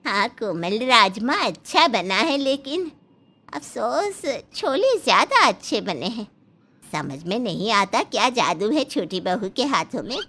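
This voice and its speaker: A woman voicing an envious, sharp-tongued mother-in-law in a cartoon story, scolding and emphatic. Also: fairly high pitch, big pitch swings, measured pace, slight background noise, heavily acted. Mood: regretful.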